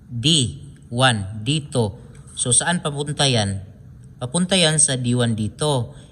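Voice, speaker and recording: A man's voice: medium pitch, animated, slow, fairly bright tone, husky. A man with a Filipino accent giving an informal hands-on tutorial, explaining step by step and often repeating himself as he points things out. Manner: repetitive, step-by-step explaining with frequent pointing phrases. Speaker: DIY electronics tutorial host. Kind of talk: informal hands-on wiring demonstration.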